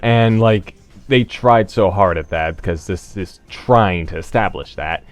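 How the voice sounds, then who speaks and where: Low-voiced man doing casual game commentary. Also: unhurried, no echo. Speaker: let's play host. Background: game music.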